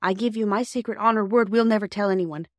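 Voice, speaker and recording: A woman's voice: medium pitch, steady tone, fast talker, no room sound, clean studio sound, voice pushed up, close to an everyday voice. Woman playing a prim outspoken little girl. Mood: nobly forgiving. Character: earnest, outspoken, self-righteous little girl.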